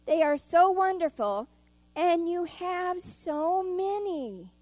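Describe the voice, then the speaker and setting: A woman's voice: extremely high pitch, sing-song, slow pace, darkish tone, no room sound, voice pushed up, full character voice. A little blue fish from a storybook, small and timid, voiced by a woman in a lifted, pleading voice. He asks sweetly and hopefully. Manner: pleading, pleasant.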